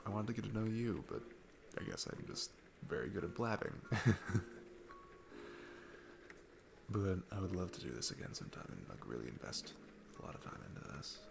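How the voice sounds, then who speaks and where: A man's voice: deep voice, vocal fry, noisy background, full character voice. A man playing a sweet, earnest, geeky single dad on a date, rambling and hesitant in an intimate ASMR roleplay. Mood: shy, tender.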